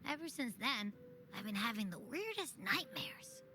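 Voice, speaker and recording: A woman's voice: on the high side, very animated, normal speed, sharp tone. A woman voicing a small, timid and loyal creature companion in a game cutscene, whiny and hesitant, with groans and stammers. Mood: groggy, whiny. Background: game music.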